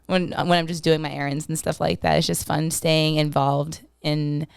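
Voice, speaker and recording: Young minnesota gal w/ that minnesotan accent, lowish smooth voice, dry close sound, chatty. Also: female voice, flat delivery, normal speed, no room sound. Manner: chatty, filler-heavy, laughs easily. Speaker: podcast guest. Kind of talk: casual podcast chat.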